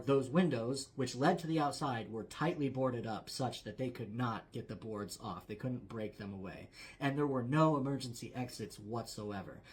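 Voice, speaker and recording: A male storyteller speaks in a medium-pitched voice. He narrates a true story as if around a campfire, leaning on key phrases for drama while keeping his wording conversational. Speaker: storyteller, host.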